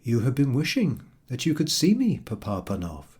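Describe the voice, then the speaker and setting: A man voicing a kindly, gentle Jesus who appears in a dream, speaking calmly and with measured words in a storybook reading. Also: mid-range voice, breathy edge.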